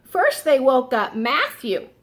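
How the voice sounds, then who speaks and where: A woman reading a picture book aloud for young children in an expressive teacherly way, pausing to explain words simply. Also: high voice.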